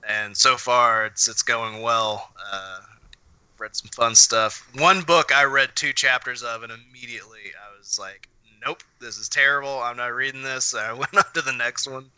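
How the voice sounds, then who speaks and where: A man's voice: medium pitch, normal speed, sharp tone, clean tone, dry recording, rough recording. A man chatting casually with friends as a podcast host, speaking in an opinionated, blunt and sweary way.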